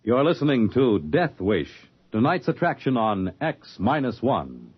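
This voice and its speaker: A man's voice: mid-range voice, very animated, unhurried. A man announcing a radio show in 1950s style with an American accent. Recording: dull 1950s radio recording. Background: loud eerie music.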